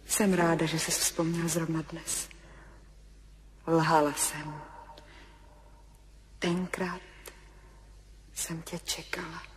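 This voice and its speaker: An actress in a 1970s radio play voicing a wistful, tender lover, intimate and often dropping to a whisper. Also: female voice, low-pitched, level tone, long pauses, a little hiss or hum, close to an everyday voice. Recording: 1970s radio drama, music behind.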